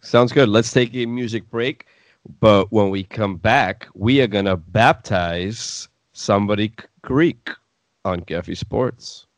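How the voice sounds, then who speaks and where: A man's voice: fairly low pitch, slow, no echo. A man hosting a casual sports talk show, speaking in a blunt, opinionated way.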